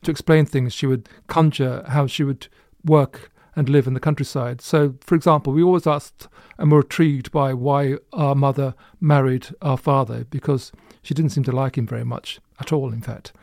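A man's voice: medium pitch, steady tone, normal speed, hardly any pauses, a little mellow, dry recording. A man who is an author, telling anecdotes in an interview with dry humour. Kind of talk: interview storytelling.

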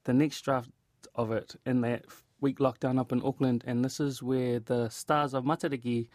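A man with a New Zealand accent talks casually as an interview guest. His medium-pitched voice has an informal, storytelling manner. The sound is very dry and free of room echo. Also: level tone, moderate pace, a little mellow. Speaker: theatre director and actor being interviewed.

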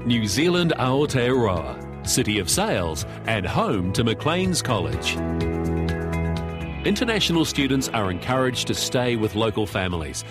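A man reading scripted promotional narration for a video. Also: mid-range voice. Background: music.

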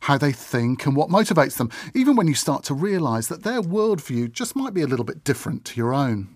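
Guy doing a scripted podcast intro, really animated pitch, dry studio sound. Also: fairly quick, dry recording. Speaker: podcast presenter. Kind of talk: scripted narration.

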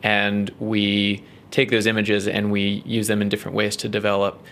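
A man with a New Zealand accent, a research scientist explaining his work in an interview, measured and full of ums and uhs. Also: lowish voice, completely flat delivery, a bit bright, slight background noise.

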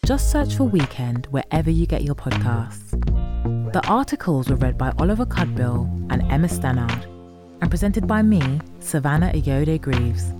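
A woman's voice: deep voice, very animated, moderate pace. A woman presenting a podcast, reading a scripted sign-off to listeners. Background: music.

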